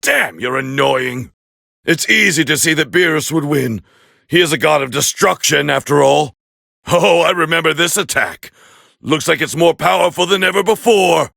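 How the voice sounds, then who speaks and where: A man playing a proud, hot-tempered alien warrior prince with a raspy voice, calling fights with scorn in an anime video game dub. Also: on the high side, normal speed, no echo, clean studio sound, full character voice. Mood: scornful, appraising. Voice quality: gravelly, raspy. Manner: haughty, scornful, biting, forceful. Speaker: fight commentator character.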